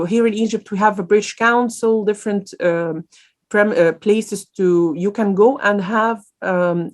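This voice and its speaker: Woman lecturing online, lots of uhs and restarts, easygoing q&a tone. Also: mid-range voice. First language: Arabic. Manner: informal and hesitant, many fillers and restarts.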